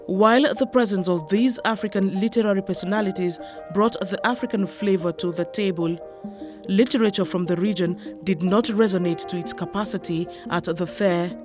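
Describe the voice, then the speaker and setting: A woman's voice: mid-range voice, moderate pace. A woman reading a scripted news report. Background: music.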